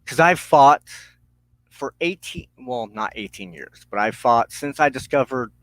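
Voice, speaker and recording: A man speaks slowly and seriously in a reflective monologue, as the host of a spiritual broadcast. He breaks his thoughts into short, deliberate phrases with pauses between them. His medium-pitched voice sounds close and dry, with no room around it. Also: expressive, fairly bright tone, no echo, poor audio. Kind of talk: reflective spoken monologue on personal belief.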